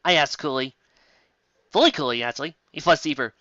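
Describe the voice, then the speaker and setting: A man giving a sarcastic, theatrical dramatic reading of fan fiction and breaking in with excitable commentary. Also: a little high, a little fast, no echo, dull audio.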